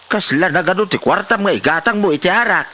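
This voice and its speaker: A man's voice: high voice, expressive, fairly quick, bright, forceful tone. A man playing a disapproving village elder who scolds and lectures in a comedy drama. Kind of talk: Ilocano comedy drama acting.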